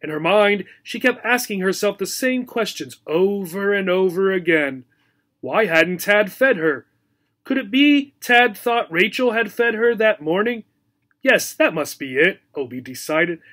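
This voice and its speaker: A man narrating a children's story as an audiobook reader, in an expressive storytelling manner. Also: a little high.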